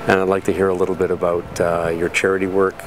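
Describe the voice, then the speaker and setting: A middle-aged man asking conversational interview questions in a measured, friendly way. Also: low voice, level tone, a little hiss or hum, poor audio. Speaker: interviewer, physician colleague.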